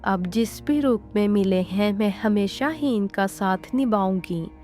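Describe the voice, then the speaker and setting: A woman's voice: medium pitch, a little slow, darkish tone, soft background music, close to an everyday voice. A woman voicing a sorrowful, devoted young bride in a cartoon story, pious and resigned. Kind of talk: Hindi cartoon story dialogue.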